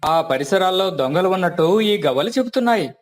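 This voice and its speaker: A man's voice: sits high, lively intonation, a little fast, soft background music. A man voicing an earnest village strongman who bluffs about his powers in a story. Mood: confident bluffing.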